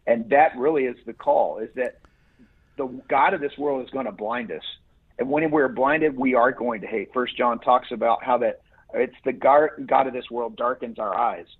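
A husky, somewhat breathy man calls in as a guest, heard over a narrow, muffled phone line. He answers in an earnest, preacherly way, often restarting his sentences. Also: mid-range voice, moderate pace.

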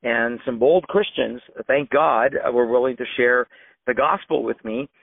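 A man's voice: medium pitch, unhurried, creaky, no echo. Man in his fifties on a tinny phone. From Iran. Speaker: guest. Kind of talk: personal testimony. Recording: dry, tinny phone line.

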